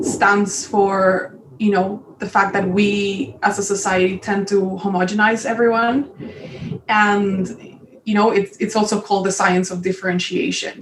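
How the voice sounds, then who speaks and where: A woman's voice: medium pitch, a little hiss or hum. A woman with a Colombian Spanish accent, a native Spanish speaker, chatting enthusiastically as a podcast guest and laughing often. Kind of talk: casual interview chat. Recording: echoey room, far from mic.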